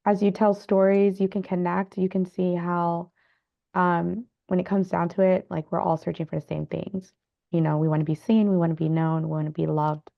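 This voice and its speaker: A woman's voice: on the low side, little pitch movement, normal speed, vocal fry, lo-fi sound. A woman speaking as a guest author, telling her own story casually. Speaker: panel guest, novelist.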